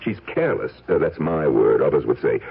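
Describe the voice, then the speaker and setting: An actor in a radio drama playing a smooth, cynical fortune hunter who talks with mocking, insolent self-possession. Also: male voice, extremely low pitch, fairly quick, exaggerated voice. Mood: mocking, accusing. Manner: suave, mocking, calmly insolent. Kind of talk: 1970s radio drama acting. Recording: muffled, husky old radio broadcast.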